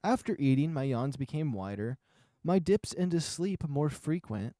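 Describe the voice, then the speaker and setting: A man's voice: fairly high pitch, expressive, moderate pace, dark tone, dry recording, clean studio sound. A man reading scripted first-person narration from a visual novel.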